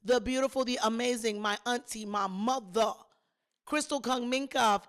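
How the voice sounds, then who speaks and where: A woman hosting a podcast, a little hoarse, chatting and telling a story straight to her listeners with plenty of emphasis. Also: a little high, normal speed, a bit bright. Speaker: podcast host. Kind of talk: casual solo storytelling.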